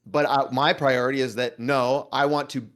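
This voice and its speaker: Man, medium pitch, casual chat, earnest, halting, repeats words. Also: fairly even intonation, fairly bright tone. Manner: earnest, halting, hedging with repetitions.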